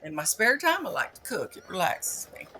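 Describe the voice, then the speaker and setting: A woman playing a bossy, exasperated chief of underworld soul trackers in an audio drama, authoritative and scolding in a deliberate way. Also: medium pitch, wildly swooping pitch, pushed and bright, slight background noise, rough recording. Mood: self-assured. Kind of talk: scripted audio drama acting.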